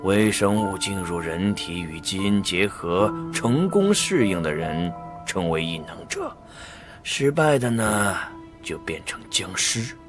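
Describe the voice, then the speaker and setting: Theatrical, swooping mad scientist. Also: male voice, low-pitched, animated, slow pace. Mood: smug, gloating. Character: smug fanatical male mad scientist villain, cowardly when threatened. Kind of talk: theatrical animated web drama dubbing. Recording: loud background music.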